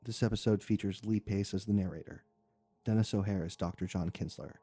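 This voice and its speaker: A man's voice: fairly low pitch, steady tone, brisk, warm tone, slightly rough. A man reading scripted sponsor messages and credits as a podcast announcer.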